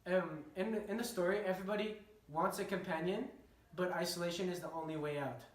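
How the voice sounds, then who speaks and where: A man's voice: high-pitched, steady tone, fairly quick. Young male student in an echoey room. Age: young adult. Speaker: student presenter. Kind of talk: rehearsed class presentation. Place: indoor room with curtained window. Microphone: distant camera. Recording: echoey room, distant camera mic.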